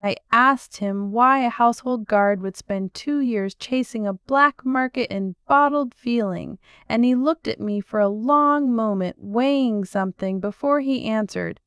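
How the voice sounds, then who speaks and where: A woman narrating a first-person story in a measured, reflective way. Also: fairly high pitch, a bit bright, smooth, dry recording.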